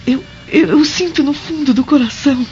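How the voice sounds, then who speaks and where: A woman's voice: high voice, normal speed, a little mellow, close to an everyday voice. An actress playing a desperate Roman wife and mother in a radio drama, imploring in a theatrical way. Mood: pleading, desperate. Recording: dull radio drama recording with music.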